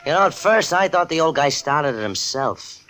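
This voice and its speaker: An actor playing a sly, needling pool-room hustler in slangy, taunting radio suspense style. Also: male voice, fairly high pitch, lively intonation, normal speed, bright, forceful tone. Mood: probing.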